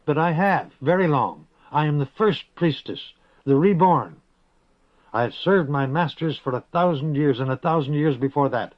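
A man's voice: fairly high pitch, lively intonation, normal speed, few pauses, no room sound, pitched above the performer's own voice, natural voice. A man voicing a proud, fierce young priestess who talks scornfully and defiantly, in a storybook reading. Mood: threatening. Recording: dull lo-fi recording.

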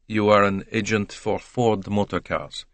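A man's voice: fairly low pitch, fairly even intonation, measured pace, no room sound. A man plays a courteous detective in a storybook mystery. He is composed and probing, with formal, dry questions in a very dry-sounding recording. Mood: questioning.

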